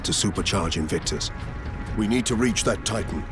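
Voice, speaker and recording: An actor playing a stoic, resolute space marine captain in a video game, with measured authority. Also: male voice, fairly low pitch, natural voice. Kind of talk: dramatic video game acting. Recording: loud music and battle noise behind.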